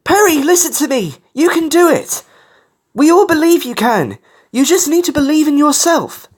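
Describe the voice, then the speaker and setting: Storybook animal cheerleader, very high and excitable, forever urging friends on. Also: slow, full character voice. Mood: urgent.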